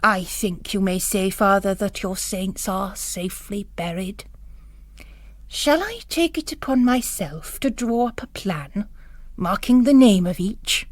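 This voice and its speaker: A woman's voice: medium pitch, a little slow, no echo, natural voice. A woman voicing a cold, sly, pompous man with a pedantic, elaborately formal way of talking. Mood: coldly polite. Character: cold, pompous, unobtrusively sly male parishioner.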